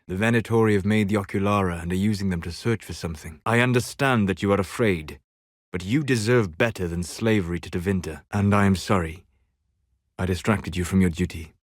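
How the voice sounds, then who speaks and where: A man playing a calm, scholarly, melancholy elven mage in a video game, speaking in deliberate, formal phrases. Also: lowish voice, no room sound, clean studio sound, close to an everyday voice. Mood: grave, measured. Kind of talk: scripted video game character dialogue.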